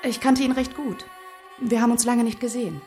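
A woman's voice: a little high, brisk, darkish tone, soft background music, natural voice. A woman acting a poor, knowing widow in a German period radio play, speaking directly to an old friend. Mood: probing.

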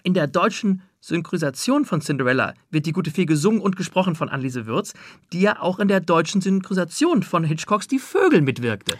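A man's voice: sits high, very animated, a little fast. A man working as an interviewer, offering comments and prepared film trivia in a conversational interview.

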